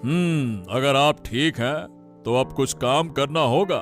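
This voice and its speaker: A man voicing a commanding, pompous king with a deliberate, authoritative manner in a Hindi cartoon story. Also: mid-range voice, soft background music, close to an everyday voice. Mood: smoothly commanding. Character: commanding, imperious king giving orders to subjects.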